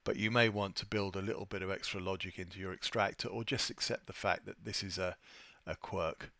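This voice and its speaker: A man presenting an unscripted software tutorial, thinking aloud with a hesitant delivery as he walks through his screen. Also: sits low, fairly even intonation, fairly quick, creaky, dry recording. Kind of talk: unscripted screen-share walkthrough.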